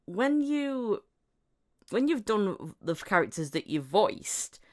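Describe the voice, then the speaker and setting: A woman hosting a casual interview podcast, chatty and giggly, with a halting way of putting her questions. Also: mid-range voice, sing-song, darkish tone.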